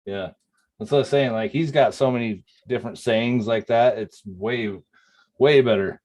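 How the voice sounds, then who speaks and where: A man's voice: medium pitch, moderate pace, a little mellow. A man in casual group chat, laid-back, mostly giving short replies and laughing along.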